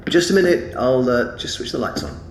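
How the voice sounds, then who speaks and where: Polite english guy, halting collector type, voice swings up and down, noisy background, audio drama. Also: medium pitch, big pitch swings. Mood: affable, distracted. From England. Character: hesitant, courteous English male collector of rare boxes. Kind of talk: naturalistic audio drama acting.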